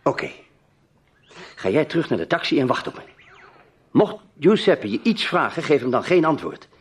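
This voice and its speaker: A man playing a self-controlled, brisk newspaperman who takes charge in a radio drama, speaking with deliberate authority. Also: a little high, very animated, husky. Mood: grave, controlled. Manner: commanding, deliberate.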